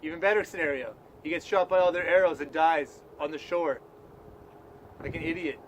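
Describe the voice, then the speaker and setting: A man with a slightly high voice hosts a loose comedy news show. He talks in a mock-serious, profane way. Faint background noise sits behind him in an amateur recording. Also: a little fast, lo-fi sound.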